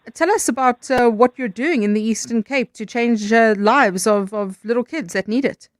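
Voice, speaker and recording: A woman's voice: fairly high pitch, normal speed, dry recording. A woman with a South African accent hosts a live radio news programme, introducing items and interviewing guests. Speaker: news show host. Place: radio studio. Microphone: close studio microphone.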